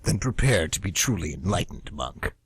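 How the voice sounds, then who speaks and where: A man voicing a smug demonic flamingo villain with a slow, taunting delivery in an amateur radio play. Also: low-pitched, big pitch swings, breathy edge, dry recording, heavily acted.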